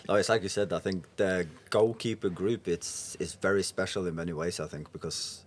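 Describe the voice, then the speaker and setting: A young man talks in a very low-pitched voice. He speaks English as an in casual podcast conversation, as a guest who plays football. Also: steady tone, moderate pace, slight background noise. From Norway. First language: Norwegian. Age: 20s. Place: indoor lounge booth. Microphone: podcast microphone on boom arm.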